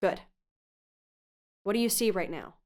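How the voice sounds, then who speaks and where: A woman's voice: medium pitch, lively intonation, a little slow, darkish tone, natural voice. An actress playing a protective, insistent female investigative podcaster in an audio drama, questioning firmly and earnestly. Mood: reluctant, stern. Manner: probing, firm, earnest. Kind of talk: audio drama phone dialogue.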